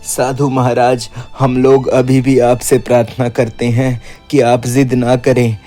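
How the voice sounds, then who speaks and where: Village headman played by a man: cautious, polite, flat even intonation, medium pitch, cartoon story with music behind. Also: natural voice. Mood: pleading. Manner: measured, polite, conciliatory. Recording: background music.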